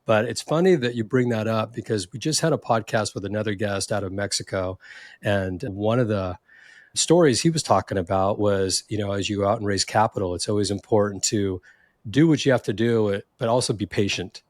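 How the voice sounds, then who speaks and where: A man's voice: fairly low pitch, a little fast, no echo, studio quality. A man hosting a startup interview podcast, reading an upbeat intro and asking friendly questions. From Silicon Valley, California. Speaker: podcast host.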